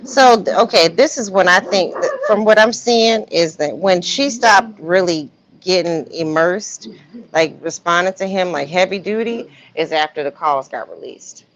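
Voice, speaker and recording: Lower-pitched woman, casual stream host. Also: measured pace, a little hiss or hum. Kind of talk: casual commentary on text messages. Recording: dull, lo-fi stream audio.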